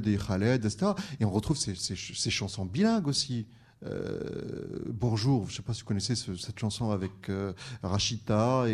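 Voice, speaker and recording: A man's voice: fairly low pitch, a little mellow. A man, a French teacher bilingual in French and Arabic, speaking on a discussion panel with frequent restarts and fillers. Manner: hesitant, many restarts.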